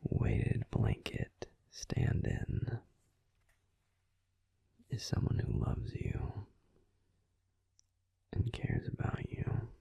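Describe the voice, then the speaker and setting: A man's voice: extremely slow, long pauses, no room sound. A man voicing a grumpy but tender male werewolf boyfriend in a whispered bedtime audio roleplay, fussing protectively and then soothing. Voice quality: gruff and soft whispering.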